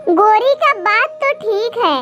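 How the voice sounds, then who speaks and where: A woman's voice: extremely high pitch, expressive, slow, pitched above the performer's own voice, heavily acted. A woman voicing small, earnest forest birds in a children's cartoon story, in a dull recording with music behind. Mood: chatty.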